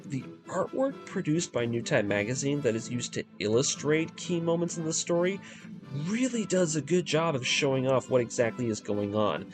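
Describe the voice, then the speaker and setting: A man giving enthusiastic video essay commentary and recaps, with an emphatic, casual fan delivery. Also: mid-range voice. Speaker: video creator reviewing an anime radio drama. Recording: voice-over with prominent music underneath. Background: music.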